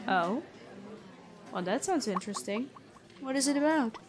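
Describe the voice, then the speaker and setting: A woman playing a gentle but stern, bookish female prison guard in a fantasy ASMR roleplay, chatty and inquisitive. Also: a little high, expressive, moderate pace, noisy background, natural voice. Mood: curious, friendly. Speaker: ASMR voice actor.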